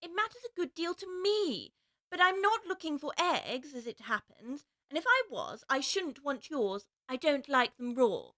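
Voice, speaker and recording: A polite, bewildered little girl in an audiobook story. Her voice is high and bright and swings expressively as she earnestly puzzles things out. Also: sing-song, unhurried, full character voice. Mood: earnest, defensive. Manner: earnest, proper, wondering aloud. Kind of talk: full-cast audiobook acting.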